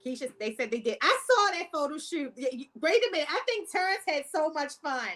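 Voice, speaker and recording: A woman's voice: sits high, expressive, brisk, sharp tone. A woman with a Baltimore accent hosting a casual podcast, laughing often as she reads viewer comments aloud. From Baltimore, USA. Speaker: podcast host. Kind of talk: casual live-stream chat.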